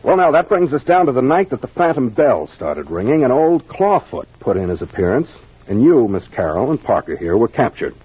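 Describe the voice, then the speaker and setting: An American man playing a confident detective captain in a 1940s radio mystery, explaining things deliberately and with authority. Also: medium pitch, very animated, husky. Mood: assured, explanatory. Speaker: actor playing the investigator. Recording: muffled old radio recording.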